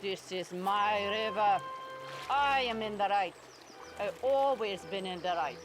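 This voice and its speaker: A woman's voice: mid-range voice, lively intonation, measured pace, music in the background, close to an everyday voice. A woman playing a stubborn, self-righteous female swan in an animal audio drama, with an imperious, disdainful and formal way of speaking. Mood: indignant.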